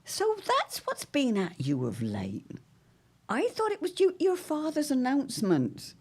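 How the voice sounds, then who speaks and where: A woman's voice: sits high, huge pitch swings, measured pace, darkish tone, heavily acted. A woman playing a witty, protective middle-aged mother of the 1910s, genteel and wry, in a period audio drama. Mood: tender. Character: arch, witty, protective society mother in 1917.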